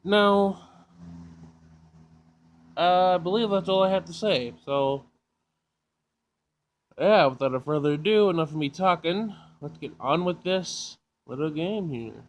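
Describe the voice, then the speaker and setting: A man's voice: high voice, a little slow, long pauses, a little hiss or hum. A man hosting a casual gaming video, reading game text aloud informally and stumbling over words, with game music behind him.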